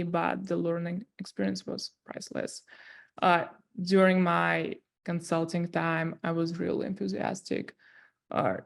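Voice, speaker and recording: Woman, strong Russian accent, 30s, lowish gravelly voice, earnest interview guest. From southern Russia. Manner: earnest, frequent fillers. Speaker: guest, tech company CEO. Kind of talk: interview storytelling.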